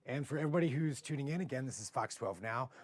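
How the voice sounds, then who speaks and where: Hoarse American man hosting live TV. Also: mid-range voice, animated, quick pace. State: hoarse, rough voice. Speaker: TV show host. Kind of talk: casual live interview.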